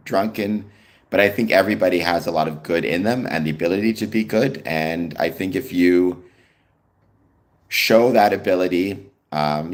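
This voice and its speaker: A young Canadian man with a Canadian accent hosts his live stream in easygoing chat, answering viewers' comments with frequent ums. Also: low-pitched. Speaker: livestream host.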